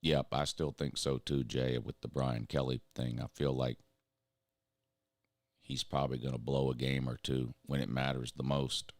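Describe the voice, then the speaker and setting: A man with a drawl and a very deep voice, worn raspy and hoarse. He rants bluntly and swears freely as a show host. The sound is dry and close. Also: level tone, moderate pace, dry recording. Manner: blunt and profane, ranting. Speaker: show host reading chat. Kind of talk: casual sports rant.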